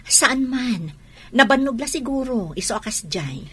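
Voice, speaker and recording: A woman's voice: fairly high pitch, lively intonation, measured pace, a bit bright, a little hiss or hum. A woman playing a hurt, suspicious wife and patient mother in an Ilocano audio drama. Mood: gently sad.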